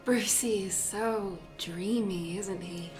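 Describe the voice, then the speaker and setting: A woman playing a dreamy, clingy young girlfriend in a superhero audio drama, cooing softly. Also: mid-range voice, takes it slowly, faint music behind, lightly acted. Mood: adoring, wistful. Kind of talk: fan-made superhero audio drama acting.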